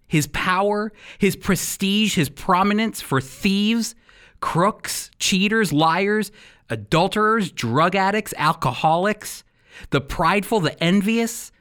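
An American man teaching the Bible like a radio preacher, with emphatic, deliberate phrasing and rhetorical questions. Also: high-pitched, a bit bright. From United States. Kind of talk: sermon-style Bible teaching with scripture reading.

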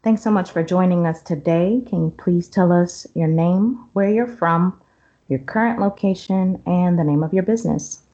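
Woman, podcast host, slightly low voice, friendly interviewer, faint music underneath. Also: moderate pace, mellow, highs cut off.

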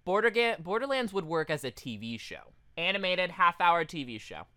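A man's voice: high-pitched, sing-song, normal speed, sharp tone, clear, resonant tone, no echo. A man co-hosting a podcast in casual comedic chat, profane and riffing as he goes. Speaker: podcast co-host.

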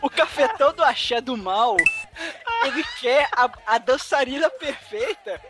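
A man's voice: very high-pitched, measured pace, sharp tone. A man co-hosting a casual, joking film review chat. He riffs at length in a wry, mocking way. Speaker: podcast co-host.

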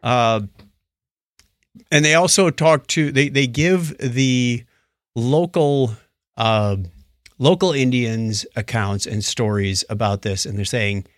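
A man with a middle-pitched voice chats as a podcast co-host. He recounts things like a storyteller and slips into imagined dialogue. The sound is dry, with no room around it. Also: slow, no room sound. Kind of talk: casual chat, recounting documentaries.

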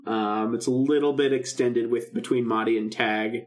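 A man's voice: medium pitch, steady tone, moderate pace. Enthusiastic man chatting as podcast co-host. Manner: enthusiastic fan, acts out lines in asides. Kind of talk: casual chat reviewing a radio drama.

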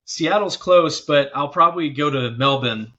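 A man's voice: fairly high pitch, normal speed, sharp tone. A man chatting casually as an interview guest, heard over slightly dull, lo-fi call audio. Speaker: interview guest, keyboard player. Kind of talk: casual chat.

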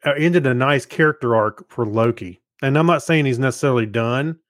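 A man's voice: medium pitch, a little fast, no echo, studio quality. A man co-hosting a podcast with enthusiastic, easygoing, casual banter. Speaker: podcast co-host. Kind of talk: casual chat.

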